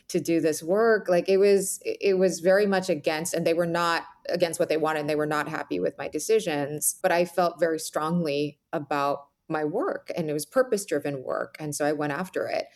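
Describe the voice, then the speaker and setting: A woman's voice: sits low, a little mellow. An American woman speaking as a podcast guest, reflecting earnestly and explaining her points in a conversational interview style. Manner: earnest, explanatory, often checks in with "right?" and "you know".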